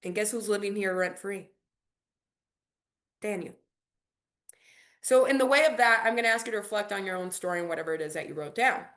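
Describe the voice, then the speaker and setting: A woman's voice: medium pitch, brisk, pauses often, fairly bright tone. A woman in her forties, a native Spanish speaker, hosting a business coaching workshop with energy and spelling out key words. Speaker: workshop host.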